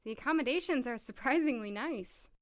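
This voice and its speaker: A woman's voice: high-pitched, lively intonation, fairly quick. A woman playing a cool, sceptical, self-assured young doctor in an audio drama, dryly polite and clipped. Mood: curious, reserved.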